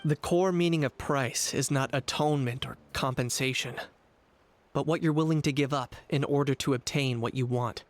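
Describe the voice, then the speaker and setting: Calm, reserved young warrior played by a man. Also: mid-range voice, normal speed, lightly acted. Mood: reflective, serious. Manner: level-headed, matter-of-fact. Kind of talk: anime video game voice acting.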